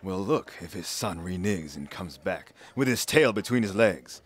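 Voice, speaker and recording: A domineering judge and father, acted by a man in an audio play. His voice sits very low, and he speaks in a pompous, commanding, formal way. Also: animated, normal speed, breathy edge. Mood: stern, pressuring. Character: stern, domineering Chicago judge and demanding father.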